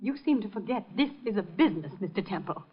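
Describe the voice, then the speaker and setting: A woman's voice: mid-range voice, expressive, fast, slightly rough. An actress playing a haughty, ruthless gallery businesswoman with an imperious, cutting manner in an old radio drama. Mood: indignant. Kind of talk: 1950s American radio drama acting. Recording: muffled 1950 radio broadcast.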